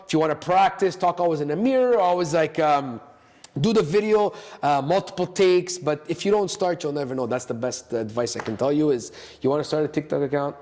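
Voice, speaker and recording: A man's voice: on the high side, brisk, darkish tone. A man talking casually as a podcast guest, giving advice emphatically in short, repeated imperatives, with long reverb around his voice. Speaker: podcast guest, cooking social media creator. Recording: echoey hall-like reverb.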